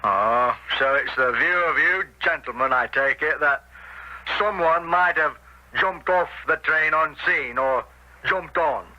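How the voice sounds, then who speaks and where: Inquest coroner for radio mystery: polite, official, slightly high voice, muffled old recording. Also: male voice, unhurried, bright, forceful tone. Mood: courteous. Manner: formal, courtly, questioning. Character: courteous, formal male coroner presiding at inquest. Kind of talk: radio drama acting.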